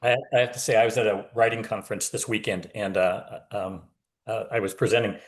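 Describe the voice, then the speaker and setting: A man's voice: fairly low pitch, steady tone, brisk. A man, an author guesting on a podcast, giving advice conversationally in emphatic short phrases.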